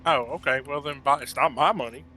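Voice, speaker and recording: Higher-pitched bright man gaming casually. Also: fairly quick, a little hiss or hum. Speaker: player in a gaming group.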